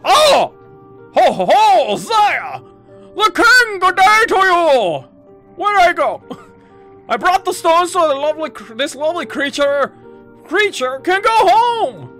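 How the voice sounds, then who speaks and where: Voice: very high voice, very animated, slow, sharp tone, soft background music, exaggerated voice. A let's player voicing a jolly, bossy male game king who exclaims theatrically and stretches his words. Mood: jolly, excitable.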